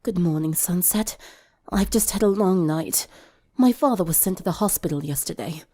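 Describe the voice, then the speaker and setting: A woman voicing a weary, worried teenage girl in a story reading, speaking in a subdued, halting way that turns breathy. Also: fairly low pitch, mellow.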